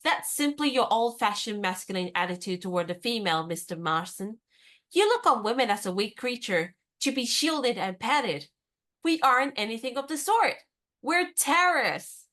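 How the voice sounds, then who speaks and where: An actress playing a spirited, competitive young woman in a radio play, brisk, teasing and confident. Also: fairly high pitch, sing-song, fairly bright tone.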